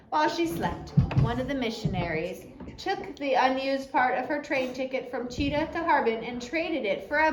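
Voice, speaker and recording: A woman reading a biography aloud as an audiobook narrator, with an expressive, deliberate storytelling delivery. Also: on the high side. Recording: roomy echo with faint background noise.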